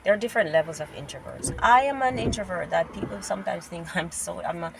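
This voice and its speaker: A woman's voice: on the low side, fast, vocal fry, recorded from a distance. A Jamaican woman talking casually to camera while driving, musing aloud with many fillers, her voice congested and a bit nasal. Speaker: vlogger. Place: inside a car in city traffic. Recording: loud road noise, mic set back.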